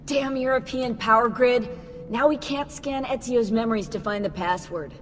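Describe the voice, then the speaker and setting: A woman plays a brisk, practical analyst in a video game, with a fairly high voice and casual, blunt delivery. The sound has a long hall reverb. Light music sits behind her. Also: lightly acted. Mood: focused, frustrated. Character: brisk, matter-of-fact woman tech analyst. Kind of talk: video game dialogue acting.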